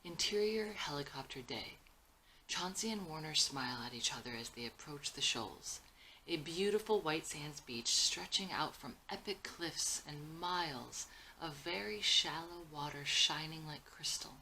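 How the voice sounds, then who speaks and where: A woman's voice: sits low, animated, a little slow, bright, forceful tone, slight background noise, rough recording. A woman reading her own script aloud as scripted narration, with scene headings and stage directions.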